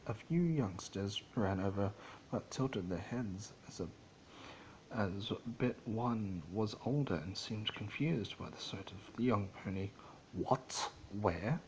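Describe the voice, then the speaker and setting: A low-pitched man narrates a storybook tale as an amateur dramatic reading. He is theatrical yet halting, tripping on words now and then, with faint noise on the recording. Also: expressive, a little mellow, low-quality recording.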